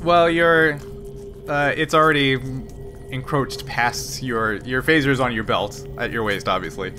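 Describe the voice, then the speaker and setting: Male game master, halting and filler-heavy, music bed under. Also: medium pitch. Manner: halting, filler-heavy narration with frequent restarts. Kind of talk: narrating a tabletop game.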